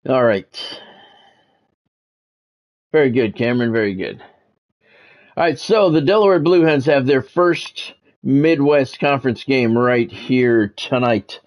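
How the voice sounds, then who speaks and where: A man's voice: medium pitch, expressive, slow pace, long pauses, darkish tone. A man giving casual, rambling, conversational commentary as he hosts a football video game stream. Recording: dull lo-fi audio with music behind.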